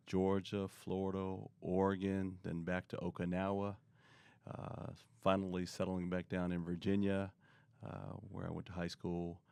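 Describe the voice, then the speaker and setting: A middle-aged man answering interview questions in a relaxed, rambling way, often pausing with fillers. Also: sits low, gravelly, no echo. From born in Korea, raised in the US. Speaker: guest, marketing expert.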